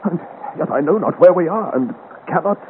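A man's voice: fairly high pitch, animated, normal speed, phone-quality sound, natural voice. An actor playing an ardent, gallant young French swordsman in a theatrical 1950s radio adventure serial, with courtly, declamatory delivery. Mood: anxious. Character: gallant, ardent young swashbuckling hero of 17th-century France. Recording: thin, noisy old 1950s radio recording.

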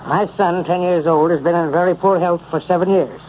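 A man's voice: high-pitched, fairly even intonation, fairly quick, natural voice. A man playing an earnest, grateful father reading out a testimonial in 1930s radio style. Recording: thin phone-like 1930s radio recording, noisy.

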